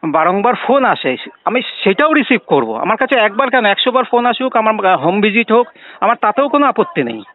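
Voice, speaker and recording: A man's voice: sits high, very animated, fairly quick. A man with a West Bengal Bengali accent arguing insistently on a phone call, questioning and repeating his points. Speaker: bank customer on a debt recovery call. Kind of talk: argumentative phone conversation. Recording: tinny, narrow-band phone line recording.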